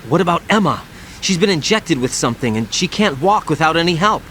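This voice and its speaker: A man's voice: on the high side, animated, brisk, fairly bright tone, a little hiss or hum. A man playing an earnest, dutiful young operative in a dramatic video game cutscene.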